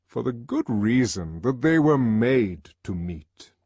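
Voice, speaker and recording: A man playing a calm, authoritative male controller who lectures students in measured phrases. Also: medium pitch, husky, dry recording, heavily acted. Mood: lecturing.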